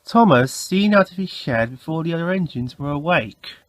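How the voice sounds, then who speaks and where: A man's voice: fairly high pitch, expressive, moderate pace, no room sound. A man reading a scripted children's story as narrator.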